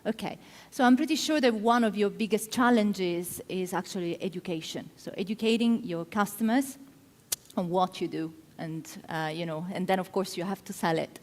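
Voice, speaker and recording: A woman's voice: medium pitch, moderate pace. A middle-aged woman from Italy with an Italian accent, giving a conference talk and explaining her points with frequent ums. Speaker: conference speaker. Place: large conference hall. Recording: hall with long reverb.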